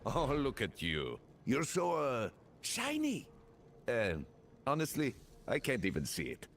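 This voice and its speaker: A glib, theatrical guide in a fantasy game. His mid-pitched voice swoops wildly with playful flamboyance, over faint ambience. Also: wildly swooping pitch, measured pace, fairly bright tone, slight background noise, heavily acted. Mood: teasing. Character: flippant, theatrical, charming male guide. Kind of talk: video game voice acting.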